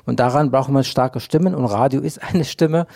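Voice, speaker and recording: A man's voice: mid-range voice, fairly quick, vocal fry, darkish tone. A man speaking earnestly as a guest in a panel discussion.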